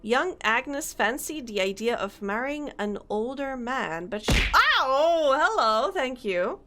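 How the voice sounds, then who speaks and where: A young woman streaming a game, chatting casually, reading on-screen text aloud and laughing often, her voice slightly hoarse. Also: high voice, sing-song, slow pace, bright, forceful tone, a little hiss or hum. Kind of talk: casual let's-play commentary.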